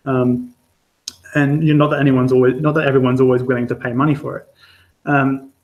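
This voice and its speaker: An Australian man in his thirties talks to a live-stream audience about his freelance work, going back over his words with plenty of ums. His voice sits in a middle pitch and stays level, with little rise and fall. He sounds candid and unpolished. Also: little pitch movement, a little fast, lo-fi sound. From Australia, Adelaide. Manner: hesitant, many fillers and restarts, candid. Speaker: freelance journalist giving a talk. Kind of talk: informal talk to an online audience. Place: home or studio for a live stream. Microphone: streaming setup.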